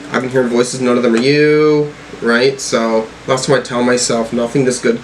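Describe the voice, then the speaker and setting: A man giving casual reaction commentary as a music review host, rambling and halting as he thinks aloud. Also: medium pitch, little pitch movement, slight background noise, low-quality recording.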